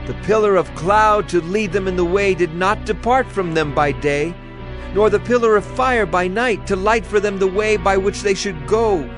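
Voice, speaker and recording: An actor playing a devout ancient Levite, praying in an earnest, declamatory manner in an audio Bible drama. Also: male voice, sits high, normal speed, natural voice, low-quality recording. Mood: grateful reverence. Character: devout ancient Levite reciting his people's history in prayer. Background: music.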